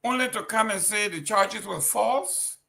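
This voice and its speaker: A man's voice: high-pitched, measured pace, creaky, pushed and bright. A man with a Liberian accent, reading political news and then commenting on it with indignant, emphatic rhetorical questions. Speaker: talk show commentator.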